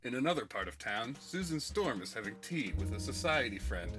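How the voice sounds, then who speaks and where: A man's voice: mid-range voice, sing-song, fairly quick. A man delivering scripted comic-book narration in a theatrical, ominous way. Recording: music behind the voice. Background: background music.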